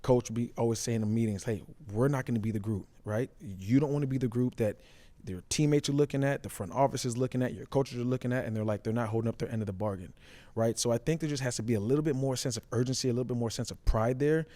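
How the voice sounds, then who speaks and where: A man's voice: medium pitch, fast, mellow. A man, a former player turned analyst, talking football casually, repeating points and checking in with tag questions. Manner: repetitive, tags points with "right?".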